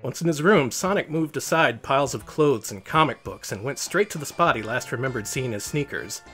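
A man's voice: mid-range voice, lively intonation, fairly quick, fairly bright tone, soft background music. A man reading a children's picture book aloud as host, in storybook narration.

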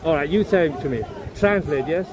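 Middle-aged man teasing in a high voice, outdoors. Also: brisk. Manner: teasing, pressing questions, puts on a foreign accent. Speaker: street debater filming passers-by. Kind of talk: confrontational street questioning. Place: busy city park outdoors. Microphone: handheld phone camera, close. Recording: loud outdoor noise, crowd around.

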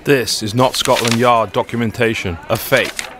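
Aloof Victorian gentleman detective played by a man, mid-pitched, formal, precise, faint background noise, audio drama. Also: steady tone, normal speed, long reverb tail, natural voice.

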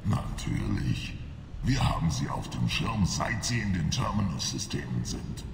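A menacing alien enforcer in a video game. The voice is very deep, gravelly and slow, curt and commanding, in an echoey space with noisy ambience. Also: male voice, very animated, heavily acted.

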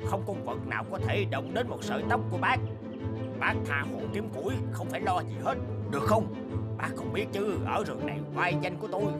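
Sly, wheedling cartoon tiger. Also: male voice, high voice, a little fast. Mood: boastful. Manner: wheedling, flattering, boastful. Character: sly scheming male tiger, trapped, boastful. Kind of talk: cartoon voice acting. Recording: music bed under the voice.

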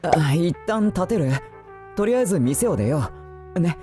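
A gentle, handsome vampire of anime drama. His intonation is lively, with music clearly heard behind him. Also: a little high, a little fast.

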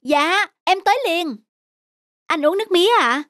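A woman's voice: very high voice, animated, normal speed, fairly bright tone, no room sound, clean studio sound. A woman voicing a brazen young female juice vendor in a cartoon, with pushy sales patter. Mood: sweet-talking. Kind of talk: cartoon dubbing.

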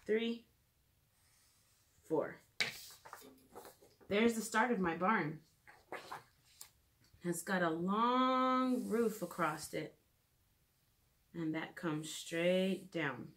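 A woman in her thirties reading aloud and leading a drawing lesson for preschoolers in a clear, simple storytelling manner, recorded in a room at home. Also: mid-range voice, expressive, drawn-out words, pauses often, fairly bright tone. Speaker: children's educator reading and drawing. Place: small room at home, indoors.

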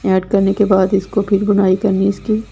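Pakistani woman with a gravelly, flat voice. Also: mid-range voice, a little fast, dull audio, a little hiss or hum. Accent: Pakistani Urdu. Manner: plain, repetitive instructional delivery. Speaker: cooking channel host. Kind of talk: step-by-step recipe voice-over. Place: home kitchen.

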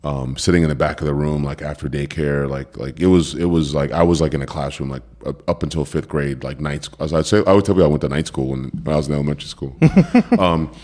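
A middle-aged man from Cameroon with an extremely deep, low voice tells his story as a podcast guest. He talks in a loose, rambling way, restarting phrases and leaning on fillers. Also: fast, a little mellow. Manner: rambling, repeats words, many fillers. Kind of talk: relaxed conversational interview.

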